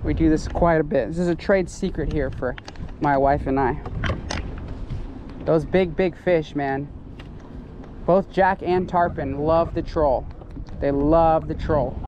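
A man's voice: on the high side, fairly even intonation, unhurried, pauses often, dull audio. Wind and water noise surround a young American man on an open boat. He talks in a casual, buddy-like way as a fishing vlogger, recorded outdoors on a body-worn action camera. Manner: informal, addresses viewers as buddies. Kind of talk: casual vlog chat while fishing.

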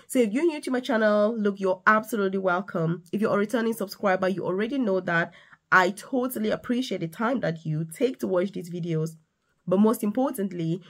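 A woman in her thirties, a nurse and career coach, talking straight to the viewer in an animated, emphatic casual vlog from a small room. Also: mid-range voice. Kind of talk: casual direct-to-camera vlog. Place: small indoor room with decorated wall.

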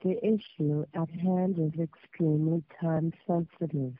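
Female voicemail caller, official and formal, very slow delivery, thin telephone sound. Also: low-pitched, steady tone, dry recording. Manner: formal, measured. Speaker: scam robocaller posing as tax officer. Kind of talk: scripted voicemail message. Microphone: telephone line. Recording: tinny, narrow phone voicemail.

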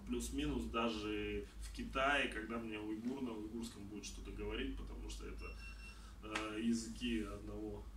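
A man in his thirties from Kazakhstan talks about travel at a middle pitch, gesturing as he answers questions. He is picked up by a camera set well back in a small room, so his voice sounds distant and echoey. A faint hum of background noise sits underneath. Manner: animated, gestures a lot while talking. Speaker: traveler giving a talk. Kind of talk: conversational talk answering audience questions. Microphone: far camera.

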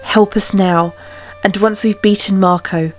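A woman's voice: medium pitch, steady tone, a little slow, close to an everyday voice, rough recording. A woman playing a proud young exiled warrior queen in a game fan dub, firm and formal. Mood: determined. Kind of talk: fan dub voice acting of a fantasy story game. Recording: music behind the voice.

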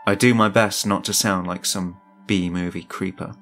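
A man's voice: low-pitched, steady tone, moderate pace, a bit bright. A man reading a scripted first-person horror story as its narrator. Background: music.

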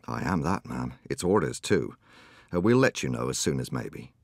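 A man's voice: extremely low pitch, animated, normal speed, vocal fry, no room sound, studio quality, pitched below the performer's own voice, full character voice. A man voicing a polite, stolid male country policeman who speaks in a broad rural dialect. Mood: confidential.

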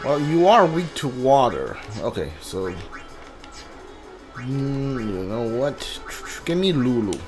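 A man's voice: medium pitch, very animated, takes it slowly. A man giving casual video game commentary, thinking aloud and reading text from the screen. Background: game music.

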